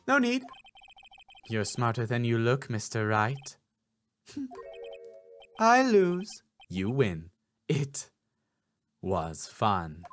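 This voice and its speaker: A man voicing a sly, composed villainous female producer in a video game, taunting and deliberate. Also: medium pitch, huge pitch swings, soft background music, pitched below the performer's own voice, exaggerated voice. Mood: resigned, amused.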